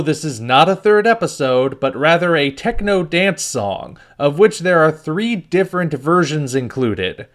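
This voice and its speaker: A man reading a scripted review as host, with a wry, critical manner. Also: on the high side.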